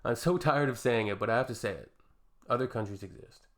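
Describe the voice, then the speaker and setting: A man's voice: mid-range voice, brisk, fairly bright tone. A young Canadian man hosting a reaction video, reading posts aloud and adding dry, sarcastic, exasperated remarks.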